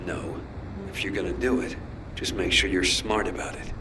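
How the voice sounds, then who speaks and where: Curt, low-voiced military squad leader played by a man. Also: steady tone, moderate pace, background noise, close to an everyday voice. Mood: firm, supportive. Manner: curt, commanding. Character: stern, pragmatic male military squad leader. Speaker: squad commander. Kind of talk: video game cutscene acting. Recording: music behind the voice.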